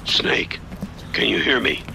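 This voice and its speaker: An actor playing a resolute older male military commander, giving a solemn, deliberate, dramatic mission briefing in a video game. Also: bright, forceful tone, slight background noise. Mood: grave, urgent.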